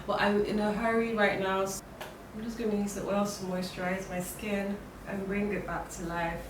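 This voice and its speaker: Hoarse young woman chatting through a makeup tutorial. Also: medium pitch, flat delivery, normal speed. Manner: chatty, with many fillers and restarts. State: hoarse from a cold. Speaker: beauty vlogger. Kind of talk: casual makeup tutorial talk. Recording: roomy, distant, noisy home recording.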